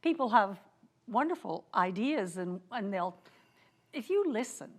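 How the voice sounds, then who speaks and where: Measured middle-aged woman, mid pitch. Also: very animated. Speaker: festival co-founder. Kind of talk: conversational recollection.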